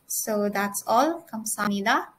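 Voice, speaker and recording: A young woman, giving a prepared student presentation in an earnest, measured way with occasional restarts. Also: mid-range voice, expressive, fairly bright tone, slight background noise, low-quality recording. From Philippines. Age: 20s.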